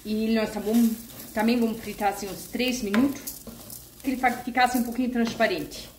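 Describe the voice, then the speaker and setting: A woman's voice: fairly high pitch, steady tone, normal speed, echoey room, background noise. A woman talking through a home cooking recipe step by step, chatty and homey, from her kitchen. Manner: chatty, homey, uses diminutives and fillers. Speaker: vegan cooking channel host. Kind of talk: casual step-by-step cooking tutorial.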